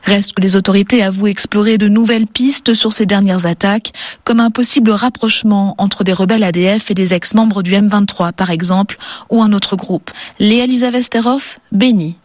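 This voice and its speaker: A woman's voice: medium pitch, fairly even intonation, normal speed, no room sound. A woman reporter narrating a scripted news report from the field. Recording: noisy field report sound.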